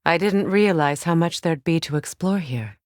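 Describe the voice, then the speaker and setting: An actress voicing a stern, wise warrior goddess in a video game, speaking with measured, formal diction. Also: female voice, low-pitched, lively intonation, dry recording, studio quality. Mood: composed, observant. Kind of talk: dramatic video game voice acting.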